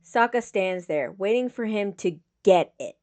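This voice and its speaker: A woman reading dramatic fiction as a scripted narration, with measured, solemn phrasing. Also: medium pitch, animated, a little mellow. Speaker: audiobook reader of fan fiction.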